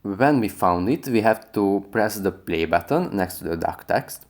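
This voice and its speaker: Man, low-pitched voice, instructional tutorial narration, video host. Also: animated, unhurried.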